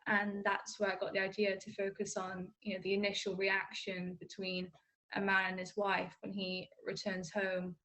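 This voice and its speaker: Teenage girl, medium pitch, articulate, informal interview delivery. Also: completely flat delivery, moderate pace, bright, forceful tone, low-quality recording. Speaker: young playwright interviewee.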